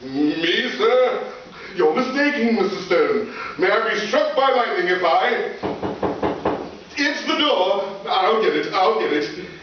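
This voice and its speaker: A man playing a blustering, excitable school principal who schemes, in a comic live radio play. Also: high-pitched, takes it slowly, heavily acted. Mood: flustered fawning. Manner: pompous, excitable. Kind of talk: comic old-time radio play acting. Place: live stage performance before microphones at a distance. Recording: echoey hall from far off, dull, hissy.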